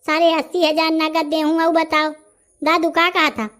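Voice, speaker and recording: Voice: very high voice, monotone, moderate pace, fairly bright tone. An actor with a Bundelkhandi accent voicing a brash, argumentative village man haggling in a comedy cartoon. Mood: boastful, haggling.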